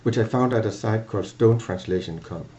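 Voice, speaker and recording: An older man with a Danish accent explaining grammar in a halting, self-correcting way, filmed at a webcam in a small office. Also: on the low side, mellow, muffled recording. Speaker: language teacher. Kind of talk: lecture to camera.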